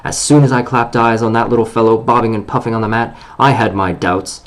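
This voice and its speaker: A man voicing a gruff, doubtful male dwarf who scorns the hobbit. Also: lowish voice, steady tone, a little fast, close to an everyday voice. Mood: scornful.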